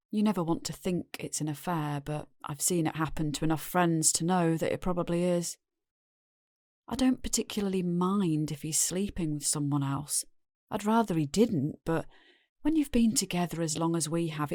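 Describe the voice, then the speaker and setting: Casting: actress as middle-aged British mother, world-weary and defensive, slightly low pitch, dry close sound, confessional radio drama. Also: moderate pace, darkish tone, dry recording, close to an everyday voice. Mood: resigned, hurt. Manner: plain-spoken, world-weary. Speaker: actor in an audio drama. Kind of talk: confessional audio drama monologue.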